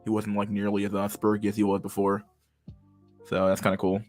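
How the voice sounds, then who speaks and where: A fairly low-voiced young man with a stammer and a slight lisp rambles to his stream chat, stalling on words and cursing often. Quiet music plays faintly behind him. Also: monotone. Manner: halting, restarts words often; swears freely. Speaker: livestream host reading chat. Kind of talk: casual livestream chat.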